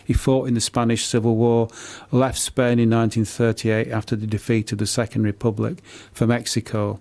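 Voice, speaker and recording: Middle-aged male radio guest, writer, mid-pitched, hesitant and repetitive in unscripted answers, recorded dry. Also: level tone, a little mellow, no echo. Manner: hesitant, halting, often repeating words. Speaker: author and poet being interviewed.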